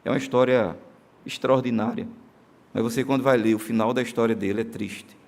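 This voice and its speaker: A man's voice: medium pitch, steady tone, a little fast, creaky, darkish tone. Earnest Brazilian preacher man. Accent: Brazilian Portuguese. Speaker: pastor. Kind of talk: sermon. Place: church sanctuary during a live-streamed service.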